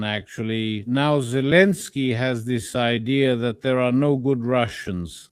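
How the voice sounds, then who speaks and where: A man in a political discussion, explaining his view in a measured way with qualifiers. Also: medium pitch, clean tone, no echo, clean studio sound.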